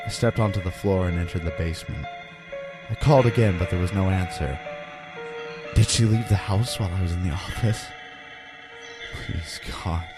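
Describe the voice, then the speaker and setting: A man playing a frightened husband and father, recounting a haunting in grave, dramatic first-person horror narration. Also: deep voice. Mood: tense fear. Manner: grave, dramatic, deliberate phrasing. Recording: loud music under voice.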